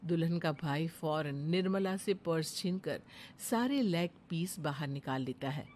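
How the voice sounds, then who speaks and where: A woman's voice: sits low, unhurried, noisy background. Woman narrating a cartoon moral story.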